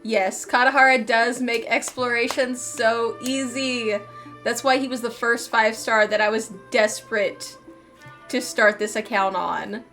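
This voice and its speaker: A woman's voice: a little high, fairly even intonation, measured pace, fairly bright tone. A woman streaming a game, giving casual, playful, chatty commentary as she reacts to the story, with game music behind her.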